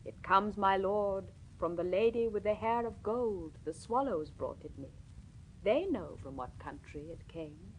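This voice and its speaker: A woman's voice: medium pitch, expressive, slight background noise, lightly acted, rough recording. Woman reading a proud, stubborn medieval king. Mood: regal, firm. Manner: measured, regal, archaic formal speech.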